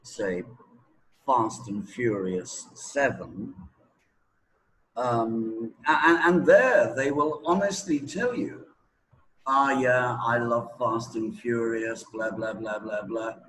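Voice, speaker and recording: A middle-aged man giving opinionated, deliberate answers with long pauses in a conversational interview. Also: mid-range voice, a bit bright, poor audio. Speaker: English teaching expert being interviewed.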